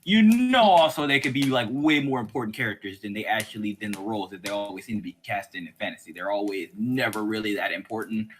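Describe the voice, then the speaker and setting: A podcast co-host with a mid-pitched voice talks in a casual, opinionated way. The delivery rambles and keeps qualifying itself, slipping into mimicry of imagined speakers. Faint noise and clicks sit in the recording. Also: fast talker, hardly any pauses.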